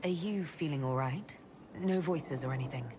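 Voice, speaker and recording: A woman's voice: low-pitched, moderate pace, background noise, close to an everyday voice. An actress playing a resolute, caring female army leader in a video game, composed, earnest and direct. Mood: calm, curious. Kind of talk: dramatic video game dialogue.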